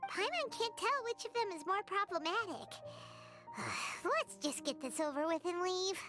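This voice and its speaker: Tiny squeaky fairy companion. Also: female voice, very animated, measured pace, full character voice. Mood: unimpressed. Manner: speaks of herself in third person. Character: small childlike floating fairy companion, chirpy and blunt. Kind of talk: video game voice acting. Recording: music playing behind.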